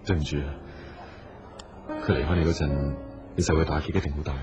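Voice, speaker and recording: An earnest, low-voiced businessman. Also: fairly even intonation, brisk. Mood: apologetic, earnest. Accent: Hong Kong Cantonese. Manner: polite, solicitous. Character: earnest, attentive businessman, loyal and persistent. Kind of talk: radio drama acting. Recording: dull audio with music underneath.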